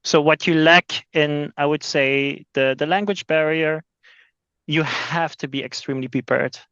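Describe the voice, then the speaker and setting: A man's voice: fairly high pitch, fairly even intonation, a little slow, a bit bright, clear, resonant tone, dry recording, muffled recording. A man from Belgium, a sales executive talking as a podcast guest, often repeating words as he restarts his sentences. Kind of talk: casual interview talk.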